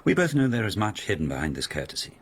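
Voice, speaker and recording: An actor playing a haughty, menacing palace lord of ancient Japan in a radio drama, with an imperious, sneering, deliberate delivery. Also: male voice, huge pitch swings, exaggerated voice. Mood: haughty, dismissive. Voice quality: deep, husky.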